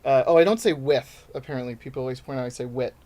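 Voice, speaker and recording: A young man from New York City, a guest comedian chatting casually on a podcast, rambling and cutting himself off, often slipping into quick acted-out quotes. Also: medium pitch, a little mellow. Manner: rambling, self-interrupting, quick to act out quotes.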